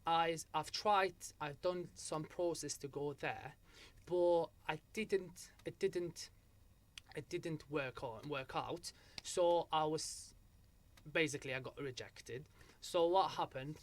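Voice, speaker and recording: A man's voice: on the high side, slow. Young Algerian man telling his story. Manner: storytelling with many restarts and repeated "so what happened?". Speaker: guest. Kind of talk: casual interview chat.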